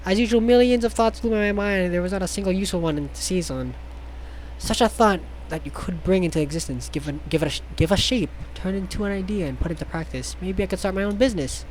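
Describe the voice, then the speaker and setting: Low-key game streamer with noisy background. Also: fast, a little mellow. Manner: stumbles over read text, sarcastic asides, swears. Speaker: streamer reading a visual novel aloud. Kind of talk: casual playthrough, reading game text aloud with joking asides.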